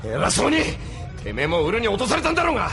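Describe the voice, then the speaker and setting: An actor playing a brash, sneering male pilot in an anime audio drama, rough-mouthed and mocking. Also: sits high, huge pitch swings, quick pace, over music, heavily acted. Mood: aggressive. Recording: noisy sound-effect backdrop.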